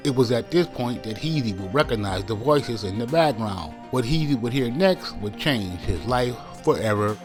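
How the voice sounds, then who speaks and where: A man with a mid-pitched voice narrates a scripted documentary about events. His delivery is dramatic and story-led. Soft music plays underneath. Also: expressive, normal speed, few pauses. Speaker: narrator of a hip-hop news channel. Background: background music.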